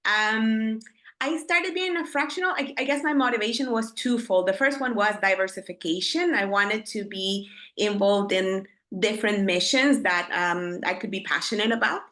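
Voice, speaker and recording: Woman, 30s, from El Salvador; bright tone, thoughtful, hesitant pacing. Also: mid-range voice, moderate pace. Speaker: panelist. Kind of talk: conference panel talk. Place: conference stage.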